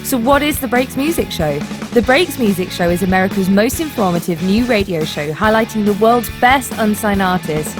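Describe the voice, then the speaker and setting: Female promo announcer, mid register, scripted read, loud rock bed behind. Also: mid-range voice, animated, moderate pace, hardly any pauses. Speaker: show announcer. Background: rock music with guitar and drums.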